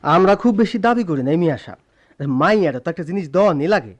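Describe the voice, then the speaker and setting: A shrewd, insistent uncle from a village radio play. He declaims in a high, very animated voice. His accent is rural Bangladeshi. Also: racing. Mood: smooth, insistent. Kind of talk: Bengali radio play acting.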